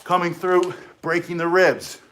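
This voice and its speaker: Man, middle-aged, self-defense instructor, slightly high voice, energetic, punchy short phrases. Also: a little slow. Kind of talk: casual instructional demonstration.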